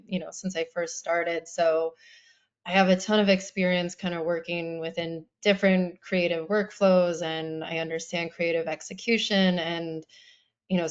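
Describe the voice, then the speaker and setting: A woman based in Brooklyn speaks as a webinar guest in a smooth, low voice. She talks casually, with many "kind of"s and "you know"s, over dull video call audio. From based in Brooklyn, New York. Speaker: production and studio lead, guest.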